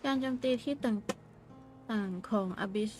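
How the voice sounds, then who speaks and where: A woman's voice: mid-range voice, racing, darkish tone. A woman game streamer giving casual playthrough commentary and reading dialogue aloud. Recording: game music behind the voice.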